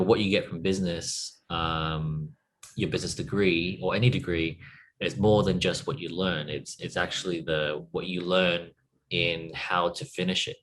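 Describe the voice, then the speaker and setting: A man in his thirties from Australia, a business coach giving relaxed interview answers with lots of "like" and "you know". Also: sits low, rough recording. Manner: relaxed, uses filler words.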